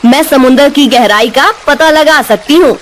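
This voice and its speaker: A woman's voice: high voice, moderate pace, slight background noise, natural voice. A woman voicing polite, earnest queens in a Hindi fairy tale cartoon. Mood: insistent.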